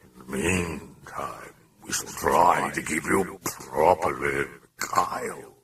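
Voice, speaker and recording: A man voicing a sly, taunting, grandiose demon in a croaking, breathy rasp, drawn out with mock gentility for an audiobook. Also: a bass voice, distant mic, noisy background. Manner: drawn-out, mock-genteel, theatrical delivery. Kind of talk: dramatic audiobook character voice.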